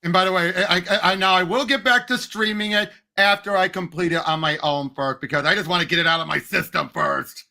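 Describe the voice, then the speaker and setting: Male co-host, high bright voice, excitable and halting, chatting casually as a fan. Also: gravelly. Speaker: co-host of a movie and comics discussion show.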